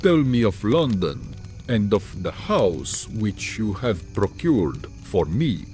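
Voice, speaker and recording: Gothic audiobook villain: proud elderly foreign Count, low voice, highly varied intonation, courtly and sinister. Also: male voice, big pitch swings, slow, warm tone, faint music behind. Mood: courteous, probing. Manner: formal, archaic, dramatic phrasing. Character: courtly, menacing old foreign Count, proud vampire nobleman. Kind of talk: dramatized gothic audiobook acting.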